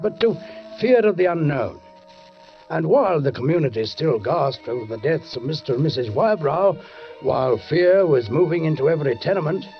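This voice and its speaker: An actor playing a suspicious, deliberate police sergeant who narrates a murder case in a 1940s radio drama, with measured, pointed pauses. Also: male voice, fairly high pitch. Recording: dull 1940s radio recording.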